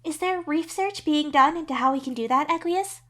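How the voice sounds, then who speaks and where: A woman voicing a young female alien troll leader in an audio story, earnest, upbeat and briskly in charge. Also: sits high, a bit bright, pitched above the performer's own voice. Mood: earnest, inquiring. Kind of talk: podfic character acting.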